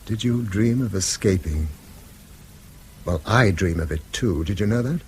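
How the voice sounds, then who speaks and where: A man's voice: on the low side, measured pace, slight background noise, close to an everyday voice. A man acting a weary, bitter middle-aged schoolmaster and father in a British radio drama, speaking eloquently and self-reproachfully in a British accent. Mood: anguished, self-reproaching. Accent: British English. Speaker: actor.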